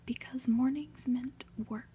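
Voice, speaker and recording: A woman reading a story aloud for ASMR in a soft, breathy whisper, hushed and deliberate, with pauses. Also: high-pitched, fairly even intonation, a little hiss or hum. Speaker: host reading a book aloud.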